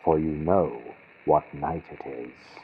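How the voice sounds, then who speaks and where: Guy doing a polite but ominous hotel maitre d', low husky voice, gothic storybook, old radio sound. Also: takes it slowly, exaggerated voice. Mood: knowing, ominous. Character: courteous hotel maître d'hôtel, smiling yet quietly foreboding. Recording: muffled vintage-radio sound from built-in computer mic.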